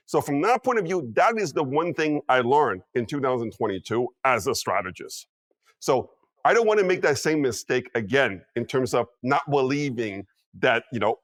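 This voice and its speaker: A man's voice: medium pitch, no room sound. Male guest strategist in his fifties, punchy and insistent, mid-range voice, dead-dry room. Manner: emphatic, peppers talk with "okay?" and "you know what?". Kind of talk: interview commentary.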